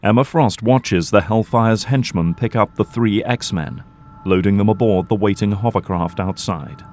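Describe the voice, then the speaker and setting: A man's voice: fairly low pitch, measured pace, darkish tone, a little hiss or hum. A man narrating a scripted adventure drama in a storytelling style.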